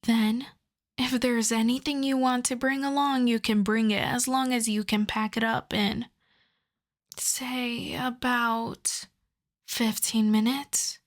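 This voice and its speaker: A woman's voice: on the high side, fairly even intonation, takes it slowly. A woman playing a possessive, seductive female vampire in an ASMR roleplay, speaking intimately and coaxingly with a smug, commanding streak and slipping into whispers. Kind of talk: ASMR roleplay audio drama.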